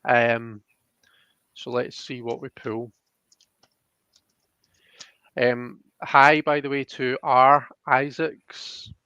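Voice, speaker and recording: Casting: male card-reading host, casual and halting delivery, mid pitch, close dry slightly lo-fi sound. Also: level tone, bright, forceful tone, clean tone, dry recording. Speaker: host and tarot card reader.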